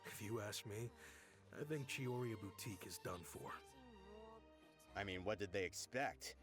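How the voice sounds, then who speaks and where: An actor voices a scornful townsman with a lowish voice, dismissive in tone. Music plays clearly behind him. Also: a little slow, lightly acted.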